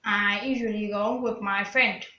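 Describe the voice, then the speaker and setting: A woman's voice: mid-range voice, fairly even intonation, moderate pace, pushed and bright, roomy sound, a little hiss or hum, low-quality recording. A woman, a student reciting practice answers in English with care. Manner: plain, careful recited answers. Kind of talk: scripted English speaking practice.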